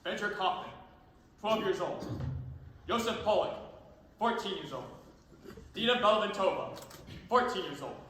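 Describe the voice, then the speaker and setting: A man's voice: sits high, bright, forceful tone, exaggerated voice. A man playing a solemn announcer in an amateur stage play, reciting formally in an echoing hall. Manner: formal, declamatory. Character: solemn announcer reading names of dead children. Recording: echoey hall, long reverb, faint noise.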